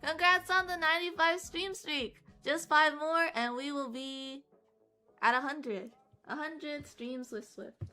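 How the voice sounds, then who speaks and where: A woman streamer chats while she draws, her voice hoarse and worn out, yet still bright and a little high. She talks slowly and rambles, stopping and restarting her sentences and filling the gaps with ums. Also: faint music behind. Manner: rambling with many fillers and restarts. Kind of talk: casual chat while drawing on stream.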